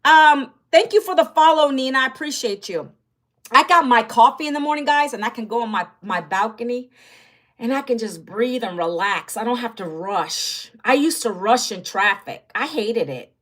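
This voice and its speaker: A woman's voice: on the high side, animated, normal speed, a bit bright. A woman in her fifties from Northern Virginia, chatting casually on a livestream and talking straight to her viewers. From Northern Virginia, USA. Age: 50s. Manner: chatty, talks straight to viewers and reads their comments aloud. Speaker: livestream host.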